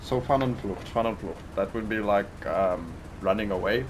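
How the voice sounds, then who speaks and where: A South African man with a fairly low voice, whose first language is Afrikaans, talks through a headset in a game voice chat. He hesitates and repeats words as he looks for them. Clear background noise runs under the chat. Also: moderate pace. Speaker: gamer teammate. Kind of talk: casual voice chat while gaming.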